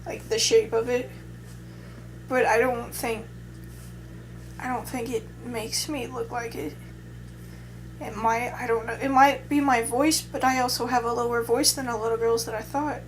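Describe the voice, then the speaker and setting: A woman's voice: a little high, steady tone, unhurried, pauses often, a little hiss or hum, rough recording. A young adult woman vlogger in casual chat, talking in a hesitant, rambling way with many restarts.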